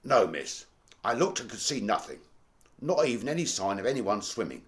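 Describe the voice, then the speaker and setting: Man acting an animated Victorian manservant. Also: on the low side, moderate pace. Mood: animated recounting. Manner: colloquial storytelling. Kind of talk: radio drama acting.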